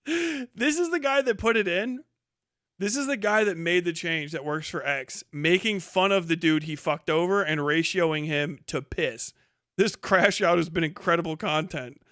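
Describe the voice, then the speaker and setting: A man with a high, clean and smooth voice, recorded dry and close. He hosts a livestream in a casual reaction style, blunt and mocking, with plenty of swearing. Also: normal speed, fairly bright tone, no room sound. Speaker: livestream host. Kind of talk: casual live reaction commentary, reading posts aloud.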